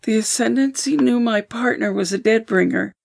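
A woman's voice: fairly high pitch, moderate pace, creaky, a little mellow. A woman playing a solemn, grieving and protective old woman in an audio drama. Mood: sorrowful.

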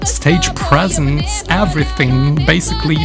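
A young man with a Ukrainian accent, hosting a casual music reaction, enthusiastic and quick to exclaim, speaking into a studio mic in a small room. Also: a little high, vocal fry. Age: young adult. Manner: enthusiastic, exclaiming and laughing often. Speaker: YouTube reaction host. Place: small indoor room with a patterned curtain. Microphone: studio microphone in front of him. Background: the song he is reacting to playing.

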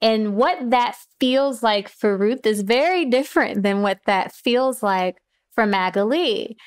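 A woman speaking as a playwright guest in a reflective discussion, with deliberate, thoughtful phrasing and pauses. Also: on the high side, lively intonation, clear, resonant tone.

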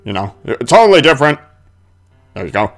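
A man's voice: medium pitch, very animated, fairly quick, a bit bright, faint music behind. A man giving casual, playful video game commentary as a let's play host, talking back to the game's characters.

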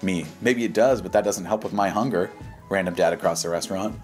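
Male YouTube host, American, low-pitched, casual and dismissive with slang, over background music. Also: brisk. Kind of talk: casual reaction commentary, reading posts aloud.

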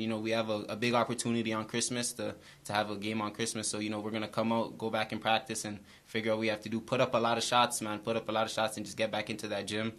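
A young man, a college basketball player, answering press questions after a game in a steady, repetitive way, speaking into a table mic in a small brick-walled room. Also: fairly low pitch. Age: young adult. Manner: flat, steady, repeats phrases. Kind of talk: postgame press conference answers. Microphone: table mic in front.

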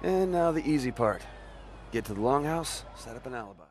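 A man's voice: mid-range voice, animated, fairly quick, a little hiss or hum, close to an everyday voice. A man playing a rebellious young American delinquent, the younger brother, in a video game cutscene. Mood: cocky. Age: young adult.

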